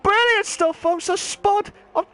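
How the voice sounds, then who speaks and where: A man commentating a live sim racing league, rambling and excitable, voice wearing hoarse from shouting. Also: extremely high pitch, a little slow. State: voice going hoarse. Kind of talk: live esports race commentary.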